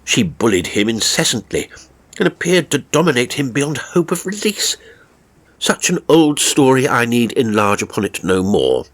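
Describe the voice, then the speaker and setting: A man's voice: mid-range voice, sing-song, moderate pace, fairly bright tone. A man reading a story as a dramatic narrator, with a theatrical, wry raconteur's delivery.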